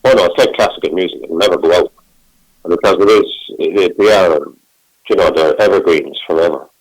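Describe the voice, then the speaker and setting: A man's voice: low voice, fairly quick, no room sound, rough recording. A middle-aged man, a singer, chatting in a phone interview and rambling with many restarts. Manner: rambling, warm self-talk with many restarts.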